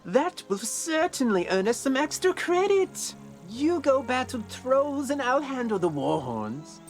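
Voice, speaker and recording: A woman's voice: high-pitched, very animated, unhurried, music in the background, full character voice. Game role: self-important schoolgirl wizard, haughty, swooping delivery. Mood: scheming, self-important. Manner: haughty, theatrical. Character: bossy, self-important young female wizard student. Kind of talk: scripted video game character acting.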